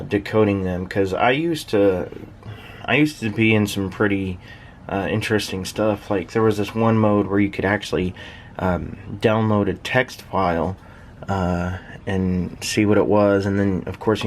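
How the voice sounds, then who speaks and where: Guy kinda rambling thru a casual hobby demo, lowish voice, bit of noise behind him. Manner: rambling, hesitant, thinking aloud. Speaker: hobbyist shortwave listener presenting own station. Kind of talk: casual unscripted vlog demonstration. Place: small uninsulated shed with workbench.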